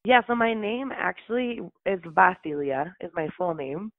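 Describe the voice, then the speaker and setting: A woman's voice: lowish voice, expressive, unhurried, no echo, phone-quality sound. A woman from Utah chatting casually as an interview guest, laughing often and saying "like" a lot. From Utah, USA.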